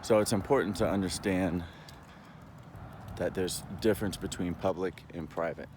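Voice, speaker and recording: A man giving a rambling, casual monologue as a vlogger, explaining in a halting way and checking in as he goes. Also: low-pitched, a little mellow, a little hiss or hum.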